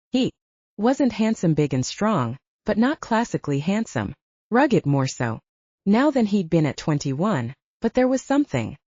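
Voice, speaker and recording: A woman's voice: on the low side, darkish tone, no room sound, lo-fi sound. A woman reading fiction aloud as a scripted audiobook narrator.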